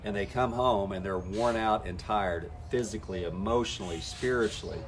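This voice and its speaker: A man's voice: fairly low pitch, moderate pace, a little hiss or hum. A man coaching network marketers in a folksy, talk-to-camera style, acting out the conversations he has with people. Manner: folksy, acts out quoted conversations.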